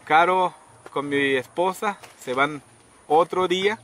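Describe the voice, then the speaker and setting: A middle-aged man casually telling a story outdoors, acting out quoted people with sudden high pitched voices. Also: slow pace, a bit bright. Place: outdoors under trees in a rural yard. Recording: outdoor noise in background.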